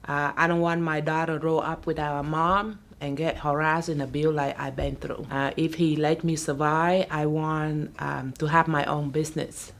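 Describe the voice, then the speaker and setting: A middle-aged woman who speaks English as a second language, talking earnestly in a halting way in a documentary interview. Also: sits low, level tone, a little hiss or hum. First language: not English. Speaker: interviewee, salon owner.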